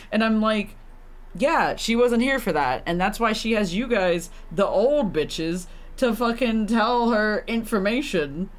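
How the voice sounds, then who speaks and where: A game streamer giving casual live commentary, talking in an incredulous, slangy way with plenty of swearing. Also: medium pitch.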